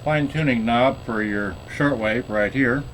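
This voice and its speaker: A man giving a casual product demonstration as a seller, unhurried and pausing mid-sentence. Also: mid-range voice, a bit bright, a little hiss or hum, poor audio. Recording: radio or music playing behind him.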